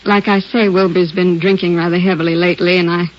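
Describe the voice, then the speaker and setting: A woman's voice: lowish voice, flat delivery, fast, natural voice. A woman playing a chatty, courteous householder who answers police questions with assurance in a 1950s radio drama. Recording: muffled vintage radio recording.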